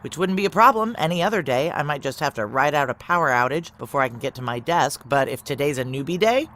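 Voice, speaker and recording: A woman's voice: sits low, expressive, quick pace, a bit bright, slight background noise. A woman playing a tough, sardonic security officer in her thirties, American, narrating an audio drama in a dry, blunt way. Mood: wry, brisk. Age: 30s. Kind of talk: first-person noir audio drama narration.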